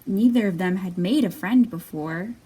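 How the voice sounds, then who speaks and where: A woman's voice: medium pitch, moderate pace, warm tone. A woman hosting a storytime for young children, talking in an encouraging, simple way as she reads aloud and leads activities. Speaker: children's library storytime host. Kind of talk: read-aloud and sing-along for young children. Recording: distant mic with faint background noise.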